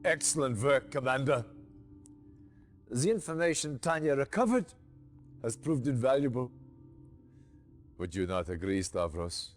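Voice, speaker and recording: An actor playing a stern male military officer giving a mission briefing in a game, crisp and authoritative. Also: medium pitch, expressive, a little slow, pauses often, faint music behind, close to an everyday voice. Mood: formal.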